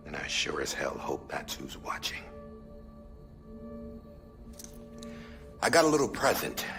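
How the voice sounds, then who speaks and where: A shrewd masked director with a low voice that rises and falls dramatically. He is folksy yet conspiratorial, measuring out his secrets as in a game cutscene, with music behind him. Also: vocal fry, full character voice. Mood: guarded, grave. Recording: music bed under the voice.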